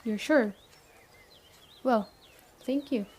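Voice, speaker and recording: A woman acts out a stern yet caring female leader of an apocalypse survivor camp. Her voice sits a little high but is very mellow and soft-edged. She talks to the listener directly in a brisk, matter-of-fact ASMR roleplay style. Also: breathy edge, full character voice. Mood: businesslike, earnest.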